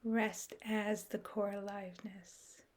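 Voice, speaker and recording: A woman guides a meditation at a slow, unhurried pace. Her voice sits at an average pitch for a woman. She speaks softly and soothingly, setting out short measured phrases with long pauses between them. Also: little pitch movement.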